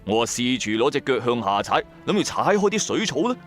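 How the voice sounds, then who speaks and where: A man's voice: mid-range voice, sing-song, brisk, fairly bright tone. A man with a Cantonese accent narrates a first-person ghost story in a colloquial, dramatic, suspenseful way. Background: music.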